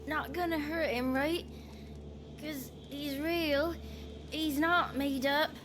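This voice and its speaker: A woman playing a timid, wary little peasant child in a video game, with halting, naive speech. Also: high-pitched, fairly even intonation, noisy background. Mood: timid, trusting. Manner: halting, naive, rustic grammar. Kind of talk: video game cutscene acting. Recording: music behind.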